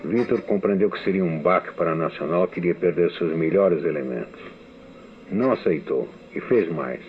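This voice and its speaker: A man from Brazil recalls his years writing radio serials, unfolding the story in a measured way with dry asides. His voice sits very low. It comes through a thin, phone-like archival radio recording. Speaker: radio novelist giving a testimony. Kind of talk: archival spoken memoir.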